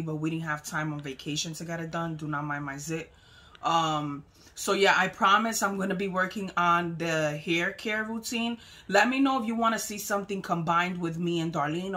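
A woman's voice: fairly low pitch, fairly bright tone. A woman in her thirties chatting casually to a phone camera at arm's length, rambling with lots of fillers. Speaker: vlogger. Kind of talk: casual vlog chat. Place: bedroom, then a moving car. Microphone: handheld phone camera at arm's length.

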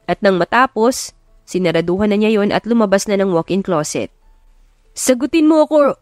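A woman's voice: medium pitch, a little fast, dry recording, faint music behind. Filipino woman narrating, dry sound. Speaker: audiobook narrator. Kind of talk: scripted dramatic story narration. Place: close, dry recording with music behind.

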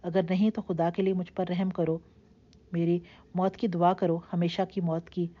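A woman's voice: on the low side, steady tone, muffled recording, natural voice. A woman voicing a heartbroken, defiant young woman who lashes out in passionate, reproachful outbursts. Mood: bitter anger.

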